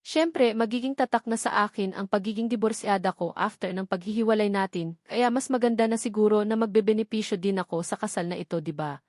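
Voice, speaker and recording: A woman's voice: medium pitch, fairly quick, a little mellow, no room sound, natural voice. Woman voicing an assertive young heiress, dry sound. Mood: self-assured, demanding. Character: assertive young rich woman, bargaining and self-assured.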